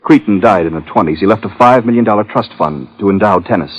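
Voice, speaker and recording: Brief: male actor as nervous PR man, low and hoarse-edged, fussy and wordy, muffled old radio sound. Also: fast, close to an everyday voice. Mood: formal, earnest. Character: fidgety, fussy, long-winded male public relations man. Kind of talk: 1950s radio drama acting.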